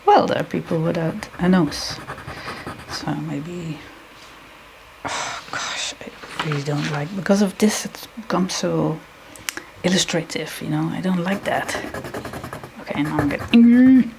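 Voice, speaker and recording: A woman with a Dutch accent, a non-native English speaker, talking to herself as she draws on a live stream, halting and hunting for words. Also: low voice, gravelly, noisy background. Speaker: artist live-streaming while drawing. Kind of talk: thinking aloud while drawing.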